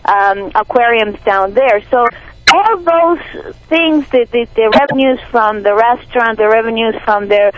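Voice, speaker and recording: A woman's voice: a little high, measured pace. A woman with a Peruvian Spanish accent talks as an activist guest on a radio show. She is earnest and indignant and often restarts her sentences. The sound is dull and lo-fi, like an old radio broadcast. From Peru. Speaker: animal rights activist guest. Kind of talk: radio interview.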